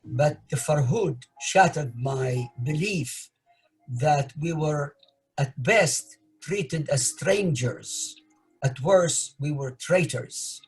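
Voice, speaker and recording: An older man from Iraq comments in a very raspy, husky voice. He speaks slowly and emphatically at a slightly raised pitch for a man. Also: a little mellow, soft background music, poor audio. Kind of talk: deliberate spoken commentary.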